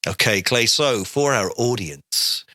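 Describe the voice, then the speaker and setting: A man's voice: medium pitch, lively intonation, takes it slowly, pushed and bright, no room sound, studio quality. A man hosting a podcast, jokey and informal, laughing often and calling people mate. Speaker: podcast host. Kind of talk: casual podcast interview.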